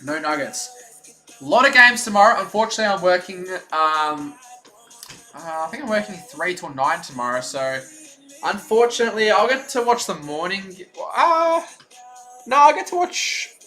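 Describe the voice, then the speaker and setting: A young man streaming his own play-by-play as a fan, chatty and excited, with a voice going hoarse. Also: high voice, normal speed, soft background music. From Australia. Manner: excited rooting fan, loud on big plays, chatty in lulls. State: losing his voice. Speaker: stream host.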